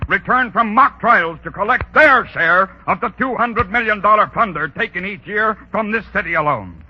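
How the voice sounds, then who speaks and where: An actor playing a defiant crusading newspaper publisher, giving an earnest, emphatic speech in 1930s radio drama style. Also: male voice, high-pitched, slightly rough. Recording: muffled 1930s radio broadcast recording.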